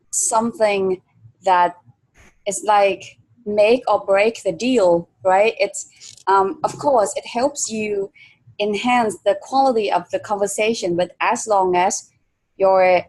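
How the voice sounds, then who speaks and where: Slow-talking woman interviewer. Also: mid-range voice, a little mellow, low-quality recording. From Vietnam. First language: Vietnamese. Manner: hesitant, many fillers. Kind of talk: conversational interview.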